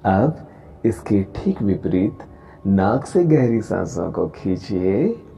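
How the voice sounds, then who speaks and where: Male meditation guide, mellow tone, extremely unhurried, gentle, music underneath. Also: deep voice, gravelly. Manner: calm, gentle, deliberate with long pauses. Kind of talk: guided meditation, slow soothing instruction. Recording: voice over background music.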